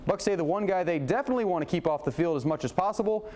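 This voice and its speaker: A man working as a TV sports anchor, calling highlights in a punchy, colloquial, exclamatory way. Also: expressive, very fast, darkish tone. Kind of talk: highlights commentary and scripted sportscast. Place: TV studio, voice-over to highlights.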